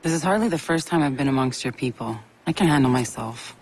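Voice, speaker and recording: An actress playing a guarded, defiant, self-assured young woman in a video game, speaking bluntly and deliberately. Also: rumbling low, lively intonation, darkish tone, a little hiss or hum. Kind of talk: dramatic video game acting. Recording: music behind the voice.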